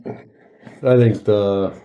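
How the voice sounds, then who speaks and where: A man's voice: fairly low pitch, fairly even intonation, drawn-out words, dark tone, highs cut off. A man chatting casually and joking in an informal, profanity-laced way. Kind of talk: casual chat, tasting and film quoting.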